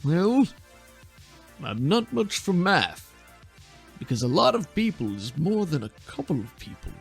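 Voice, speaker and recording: A man voicing a dim, vain, easily bored teammate in a tabletop game, with a slow, self-assured manner. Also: medium pitch, big pitch swings, gravelly, faint music behind, voice pushed up. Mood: pondering.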